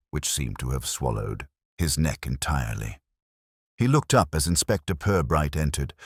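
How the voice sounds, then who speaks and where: A man narrates a scripted detective story in a very deep voice. His delivery is lively in pitch, and the sound is dry and close. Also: slightly rough, no room sound. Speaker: audiobook-style narrator.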